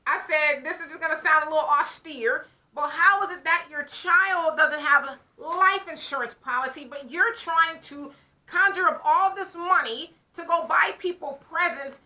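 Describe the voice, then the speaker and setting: A woman's voice: sits high, normal speed. A woman co-hosting a casual talk show, animatedly telling a story and acting out both sides of a conversation. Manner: animated storyteller who acts out her own side of conversations. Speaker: podcast co-host. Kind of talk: casual chat.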